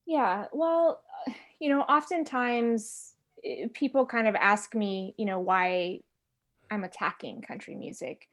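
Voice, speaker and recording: A woman's voice: mid-range voice, slow pace, a bit bright. A woman, a guest on a radio talk show, speaking in a reflective, conversational way. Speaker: guest, researcher. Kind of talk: radio interview answers.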